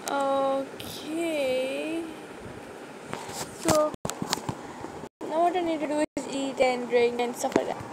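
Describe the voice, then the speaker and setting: A young woman with a high voice chats through her game with a sore throat, full of fillers and restarts. The recording is noisy, with a steady hiss behind her voice. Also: takes it slowly, long pauses. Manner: rambling with many fillers and restarts. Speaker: gaming channel host. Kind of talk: casual gameplay commentary. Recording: noisy screen-recorded mic audio.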